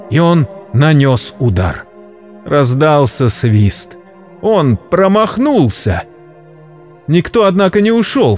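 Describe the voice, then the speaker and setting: A man narrating a scripted story dramatically, in a solemn, measured literary manner. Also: a little high, a little mellow. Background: background music.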